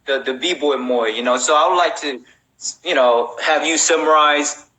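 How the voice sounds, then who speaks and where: A man's voice: a little high, moderate pace, sharp tone, rough recording. A man hosting a casual chat in a loose, slangy, rambling way with frequent check-ins. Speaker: host.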